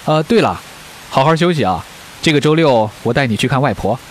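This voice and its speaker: Man acting stern middle-aged father, animated pitch. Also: fairly quick, natural voice, low-quality recording. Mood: firm, caring. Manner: firm, lecturing. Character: stern, protective widowed father of a teenage girl. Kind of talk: Chinese radio drama acting. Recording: faint hiss, rain-like noise.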